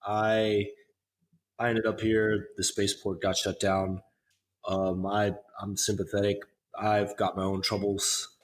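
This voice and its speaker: A man's voice: fairly low pitch, completely flat delivery, unhurried, slight background noise, close to an everyday voice. A man playing a weary, self-interested fugitive drifter in a tabletop game, speaking hesitantly. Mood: reluctant, uneasy.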